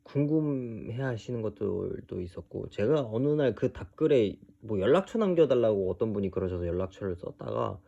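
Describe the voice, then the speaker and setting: Male, early 30s, low dark voice, halting unscripted vlog delivery, dull lo-fi sound. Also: expressive, gravelly. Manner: halting, hesitant, trailing into pauses. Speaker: video creator telling his own story. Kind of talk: unscripted personal monologue to camera.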